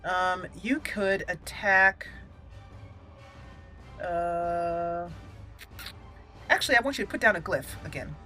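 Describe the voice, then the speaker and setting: A woman's voice: on the low side, takes it slowly, long pauses, sharp tone, soft background music. A woman giving casual let's play commentary as a channel host, thinking aloud and repeating words as she goes.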